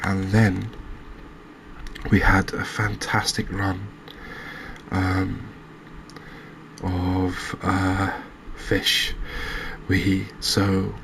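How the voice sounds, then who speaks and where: A soft-spoken man narrating a gaming recap in a calming, hesitant ASMR style. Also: deep voice, vocal fry, slight background noise, low-quality recording. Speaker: host of a cozy gaming channel.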